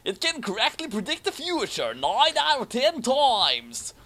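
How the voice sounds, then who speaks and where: A man's voice: very high voice, huge pitch swings, unhurried, bright, forceful tone, voice pushed up, full character voice. A man reading the part of a smug, boastful fighter from an anime fan story.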